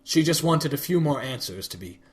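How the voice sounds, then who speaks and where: A man reading fan fiction aloud in an expressive storytelling manner. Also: a little high, brisk. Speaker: reader.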